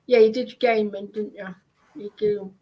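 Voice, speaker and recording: A woman chatting casually in a hesitant, halting way, often repeating herself. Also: medium pitch, steady tone, mellow, rough recording. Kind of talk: casual chat.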